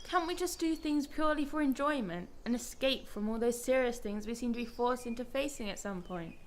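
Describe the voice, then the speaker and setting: A woman's voice: fairly high pitch, a little fast, a little hiss or hum, natural voice. A woman playing a quirky, free-spirited but guarded young woman in a radio drama. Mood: wistful.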